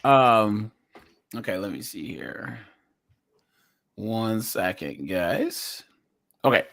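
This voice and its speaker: A man's voice: lowish voice, expressive, extremely slow, a bit bright. A man hosting a casual livestream reaction, chatty and rambling as he laughs along. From United States.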